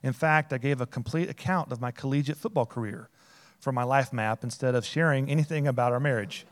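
A man reading a personal testimony to a group, plain-spoken and loud. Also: medium pitch, fairly quick. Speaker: speaker sharing a testimony.

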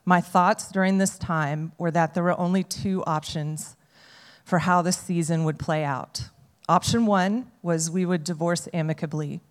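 A woman reading a prepared personal testimony to a group, in an earnest, measured way. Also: on the low side, steady tone. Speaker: speaker sharing a testimony. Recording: long hall reverb.

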